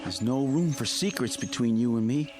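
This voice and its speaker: An actor playing an ardent, lovesick young country suitor with a Southern US accent, pressing and jealous, in a radio drama. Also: male voice, mid-range voice, normal speed, over music, close to an everyday voice. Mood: pressing, hurt. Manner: impassioned, pressing.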